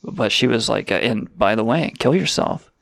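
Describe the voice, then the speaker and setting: A man's voice: lowish voice, expressive, moderate pace, creaky, a bit bright, dry recording, clean studio sound. A man hosting a crude comedy radio show, sarcastic and rambling, swearing freely. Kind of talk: irreverent comedic banter.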